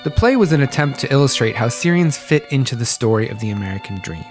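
A man hosting a history podcast, reading a scripted narration in a measured, even manner. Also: medium pitch, faint music behind. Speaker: podcast host.

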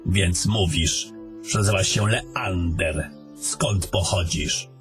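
Male actor as cynical wizard mentor, older, low register, commanding lecturer, fantasy audio drama with music bed. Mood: calm, probing. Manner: measured, lecturing. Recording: music under the voice.

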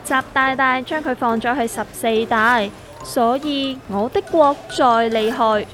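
A sardonic investment guru from a comic radio play, played by a woman, speaking Hong Kong Cantonese in a fairly high voice. Soft music runs underneath. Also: measured pace, close to an everyday voice. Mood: explaining. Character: sardonic know-it-all investment master. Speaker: voice actor in a radio drama. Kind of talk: scripted comedic dialogue.

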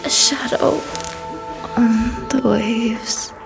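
An actress in a radio drama playing an intense, haunted young poet, reciting haltingly and dropping toward whispers. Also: female voice, fairly high pitch, steady tone, creaky, over music, exaggerated voice. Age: young adult. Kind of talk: performance poetry in radio drama.

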